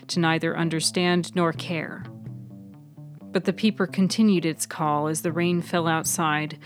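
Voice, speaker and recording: Low-voiced woman reading scripted narration. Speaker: writer and narrator of a fiction podcast.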